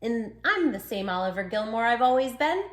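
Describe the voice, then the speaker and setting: A woman's voice: on the high side, animated, normal speed, fairly bright tone. A woman voicing a sweet, plucky little male dachshund who tells his own storybook tale with earnest, dramatic emphasis. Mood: plucky, reassuring. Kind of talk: children's storybook read-aloud.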